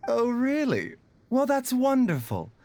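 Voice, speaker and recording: Courteous doctor with lilting delivery. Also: male voice, very high voice, huge pitch swings, darkish tone, heavily acted. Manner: polite, measured, formal. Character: courteous, gentle-mannered male doctor, calm and refined. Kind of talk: video game voice acting.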